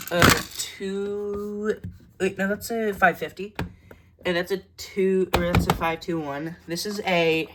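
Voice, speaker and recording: A woman's voice: fairly low pitch, heavy background noise. A woman giving a casual, matter-of-fact show-and-tell of a hobby collection in short, clipped phrases, recorded indoors at home.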